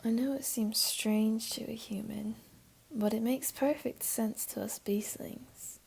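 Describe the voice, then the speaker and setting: A woman voicing a flirty, teasing, comforting jaguar beastling in an intimate ASMR roleplay, speaking softly and coaxingly in a half-whisper. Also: fairly high pitch, steady tone, slow pace, vocal fry, slightly rough, full character voice. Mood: patient, inviting.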